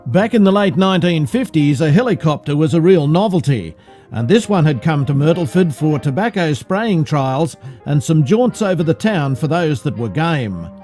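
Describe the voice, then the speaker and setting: A man's voice: fairly high pitch, sing-song, normal speed, darkish tone. A man with an Australian accent reading scripted narration in the style of an old cinema newsreel. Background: music.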